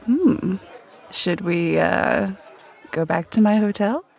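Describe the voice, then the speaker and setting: A woman's voice: on the low side, animated, takes it slowly, creaky, a little mellow, faint music behind, exaggerated voice. An actress playing a playful, flirty, adventurous woman on a date in a romcom audio drama, giggly and teasing.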